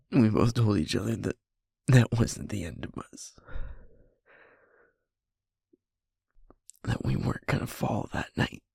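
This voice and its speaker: A man playing a guilt-ridden young werewolf boyfriend in an intimate audio roleplay, speaking haltingly and rawly in a husky, creaky, breathy voice. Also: lowish voice, darkish tone, dry recording, studio quality, exaggerated voice. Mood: sorrowful, raw. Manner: halting, emotionally raw, broken-up phrases.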